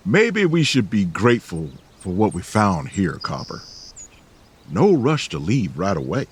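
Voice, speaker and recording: A man acting a calm, weary, caring companion who speaks softly and coaxes others, in an audio drama. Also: on the low side, measured pace, breathy edge, full character voice. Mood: coaxing. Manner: soft, coaxing, gentle. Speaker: Silver.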